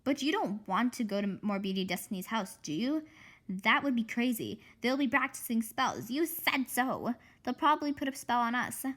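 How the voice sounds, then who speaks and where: Woman as reluctant kid best friend in a storybook: hesitant, questioning, cautious, with lively pitch. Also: normal speed. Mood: worried, doubtful. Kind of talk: children's book read-aloud character voice.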